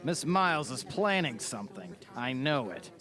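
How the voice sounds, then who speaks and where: An actor playing a keen, shrewd defense lawyer who speaks with formal urgency in an audio drama. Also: male voice, medium pitch, sing-song, moderate pace, a crowd in the background, lightly acted. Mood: wary, focused.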